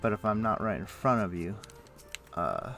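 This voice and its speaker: Male streamer, fairly low pitch with heavy vocal fry; offhand, halting gamer chat, muttered thinking aloud, soft music underneath. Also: animated. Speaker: game streamer. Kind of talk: casual live-stream chat while playing an online game. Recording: faint music behind the voice. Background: faint music.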